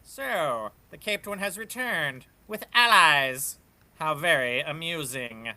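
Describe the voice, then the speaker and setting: A man playing a gloating, theatrical dark lord villain with grand, archaic speech in a live comic book reading. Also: a little high, expressive, takes it slowly, dry recording, full character voice. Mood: sly gloating.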